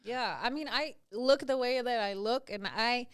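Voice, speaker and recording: A woman's voice: fairly high pitch, unhurried, fairly bright tone, clear, resonant tone. A Danish woman guesting on a podcast, speaking English as a second language, frank and unbothered. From Denmark. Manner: frank, unbothered, matter-of-fact. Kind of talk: casual interview chat.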